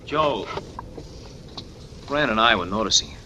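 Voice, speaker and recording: An American actor playing a young trapeze flyer and loyal pal, breezy and slangy, in 1940s radio drama style. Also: male voice, fairly low pitch, lively intonation, slow pace, bright, forceful tone, exaggerated voice. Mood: concerned. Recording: old radio recording, faint noise.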